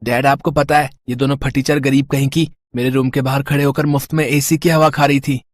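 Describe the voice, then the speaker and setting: A man's voice: mid-range voice, flat delivery, fairly quick, no echo, studio quality, close to an everyday voice. A man voicing a spoiled, rude rich boy in a moral story, bratty and scornful.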